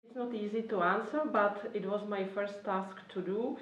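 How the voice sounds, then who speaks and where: A woman with a Czech accent explains policy as an official addressing online learners. Her medium-pitched voice moves carefully and haltingly through non-native English. The recording is roomy and slightly dull, picked up at some distance from the microphone. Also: fairly even intonation. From Czech Republic. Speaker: government youth policy official. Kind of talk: explanatory talk for an online course.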